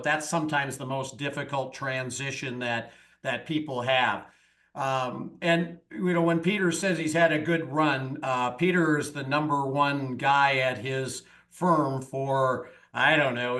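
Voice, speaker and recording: A man's voice: medium pitch, pushed and bright. A man hosting a podcast, talking in a rambling way with many fillers as he introduces his guest. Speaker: podcast host. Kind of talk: casual interview talk.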